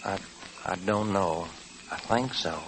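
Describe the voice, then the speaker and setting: A man's voice: low-pitched, slow pace, fairly bright tone. A man playing an earnest, easygoing cowboy in an old-time radio western, with folksy, good-natured speech. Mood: eager, joking. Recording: noisy, creaky vintage radio broadcast.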